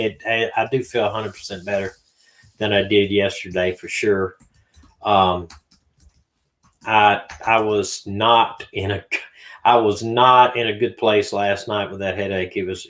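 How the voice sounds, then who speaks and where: A man's voice: on the low side, fairly bright tone. A middle-aged man with a Southern American accent, rambling in a folksy way as host of a casual livestream chat. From Southern United States. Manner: rambling, folksy, many fillers and restarts. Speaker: livestream host, retired detective. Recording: roomy echo.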